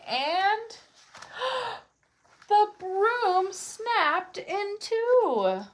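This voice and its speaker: A woman storyteller reading a children's picture book aloud expressively. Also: sits high.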